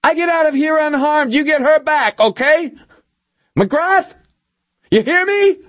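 Shrieking, panicked cornered villain, West Coast American. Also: male voice, unhurried, fairly bright tone, no room sound, lo-fi sound. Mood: desperate bargaining. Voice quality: high-pitched. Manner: screaming, frantic. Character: panicked, cornered male villain screaming desperate threats. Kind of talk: audiobook character voice.

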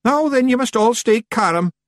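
A man voicing an excitable little male fairy clan leader with a Scottish accent, nervous and boastful, in an audiobook. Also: sits high, normal speed, breathy edge, no echo, studio quality, pitched above the performer's own voice, full character voice. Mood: panicked. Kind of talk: audiobook character voice.

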